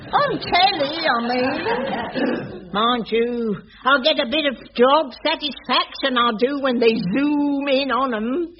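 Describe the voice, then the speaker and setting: Shrill old working-class English cleaning lady. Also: lively intonation, slow pace, crowd noise. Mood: chatty. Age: older. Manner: indignant, colloquial, chatty. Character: blunt, chatty, stubborn elderly cleaning woman. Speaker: actress in a radio sitcom. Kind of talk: British radio sitcom acting. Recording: muffled, noisy old radio recording.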